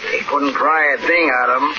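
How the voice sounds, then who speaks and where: A man's voice: fairly high pitch, steady tone, moderate pace, gravelly. A man playing an easygoing, plainspoken frontier townsman in an old radio Western. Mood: respectful. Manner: folksy, plainspoken. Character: easygoing, respectful frontier townsman named Hobbs. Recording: muffled, noisy old radio recording.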